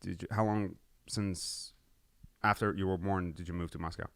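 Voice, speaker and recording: A man's voice: low voice, steady tone, moderate pace, vocal fry, clean tone. A man hosting a podcast, interviewing a guest in a casual, conversational way. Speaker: podcast host.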